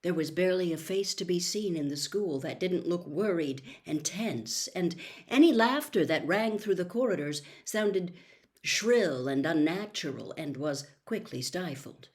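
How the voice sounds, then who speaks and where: A woman narrating a storybook as an audiobook reader, with measured, deliberate and dramatic phrasing. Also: fairly low pitch, animated. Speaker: audiobook reader, host of the show.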